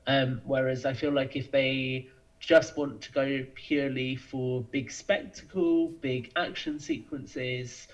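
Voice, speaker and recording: A man's voice: medium pitch, flat delivery, slow, lo-fi sound. A man answering as a podcast guest, talking in a thoughtful, explanatory, conversational way.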